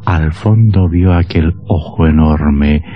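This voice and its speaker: A man playing a sinister horror narrator in a radio drama, solemn, deliberate and ominous. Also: very deep voice. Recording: muffled old radio sound, music behind.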